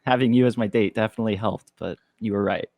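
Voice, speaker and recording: A man's voice: lowish voice, fairly even intonation, fast talker, a little mellow, natural voice. Guy playing a nice awkward college kid, hesitant, casual table read delivery. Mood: easygoing. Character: awkward, good-natured young male college student.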